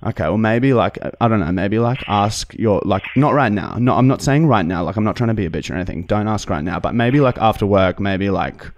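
A man's voice: lowish voice, close to an everyday voice. An Australian man putting on a hesitant, self-righteous vegan customer who rambles and gets sidetracked during a prank phone call. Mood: preachy, earnest. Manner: halting, rambling, peppered with "like". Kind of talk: prank phone call put on for a comedy podcast. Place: indoor podcast studio. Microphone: close studio microphone.

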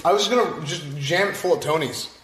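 A man's voice: fairly high pitch, fast. Joking guy in a noisy kitchen. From Texas. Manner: joking, loose, talks over others. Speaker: streamer cooking with friends. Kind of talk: casual banter while cooking. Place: large home kitchen, indoors. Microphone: camera mic across the room. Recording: echoey kitchen with crowd chatter.

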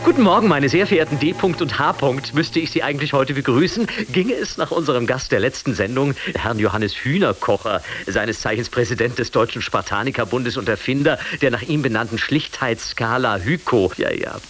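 An actor playing a naive, excitable radio host in a comedy sketch, theatrical and easily flustered. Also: male voice, mid-range voice, fairly quick, hardly any pauses, lightly acted. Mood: grandly announcing. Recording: hissy 1980s radio recording.

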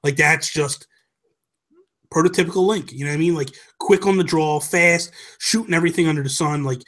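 Emphatic man, higher-pitched voice. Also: fairly quick, a bit bright. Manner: emphatic, opinionated, repeats himself for emphasis. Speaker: podcast host. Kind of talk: animated casual chat about games and films. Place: small home room, indoors. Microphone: close desk microphone with headphones.

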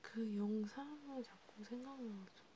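A woman speaking in a hushed, rambling ASMR style, half whispering, as if chatting one-to-one with a listener. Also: fairly high pitch, unhurried, a little hiss or hum. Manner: hushed, rambling, intimate one-sided conversation. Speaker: ASMR creator. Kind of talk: soft ASMR roleplay chat, partly whispered.

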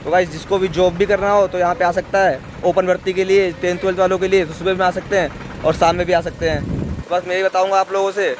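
A man's voice: sits high, little pitch movement, quick pace. A young man chatting casually to viewers in a repetitive vlog style, recorded outdoors at arm's length. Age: young adult. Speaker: vlog host. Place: outdoors along industrial roadsides. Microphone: camera held at arm's length. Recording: noisy street with vehicle traffic.

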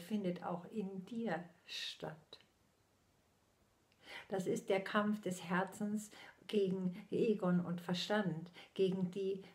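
A woman in her sixties, a spiritual medium, delivering a channeled message slowly and solemnly with her eyes closed, often sinking into soft whispers, recorded close up on a laptop in a small room at home. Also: medium pitch, vocal fry.

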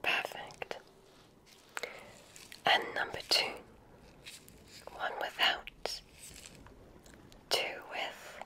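A kindly witch examiner speaks in a hushed whisper for an ASMR roleplay. The delivery is very slow and deliberate. The manner is soothing and gentle, with softly approving remarks.

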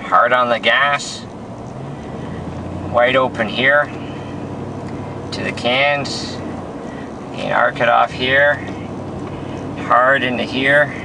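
A man's voice: mid-range voice, creaky. A man in his forties, a race car driver, talking through a lap in short clipped instructions from inside a moving car. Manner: terse, clipped driving instructions. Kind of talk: lap walkthrough commentary. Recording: distant mic with road noise.

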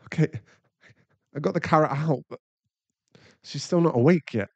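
A man's voice: fairly high pitch, moderate pace, dry recording, dull audio. A British man playing a caring, chatty young boyfriend who is easily flustered, in an intimate ASMR roleplay. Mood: anxious, shaken.

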